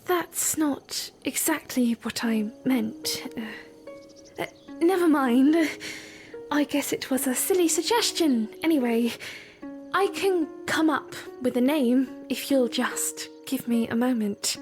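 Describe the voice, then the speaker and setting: Audio drama princess female actor: young, high and lilting, unhurried, playful yet earnest, formal in phrasing. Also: faint music behind. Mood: flustered, shy. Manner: expressive, formal wording, teasing, hesitant when flustered. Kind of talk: audio drama acting of fan fiction.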